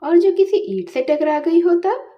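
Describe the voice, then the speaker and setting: A woman voicing a sly, argumentative gentleman who feigns innocence in a storybook reading. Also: extremely high pitch, a little slow, highs cut off, voice pushed up. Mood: feigned innocence. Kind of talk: Hindi storybook dialogue.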